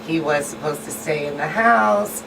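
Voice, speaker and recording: A woman's voice: low voice, moderate pace. A woman co-hosting a radio talk show in casual conversation. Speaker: co-host. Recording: distant pickup, clear hum of room noise.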